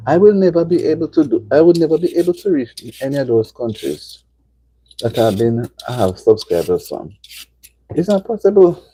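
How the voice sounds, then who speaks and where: A woman's voice: low voice, dark tone, slight background noise, low-quality recording. A middle-aged woman from Jamaica with a Jamaican accent, chatting casually to viewers on a live stream and repeating herself for emphasis. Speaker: livestream host.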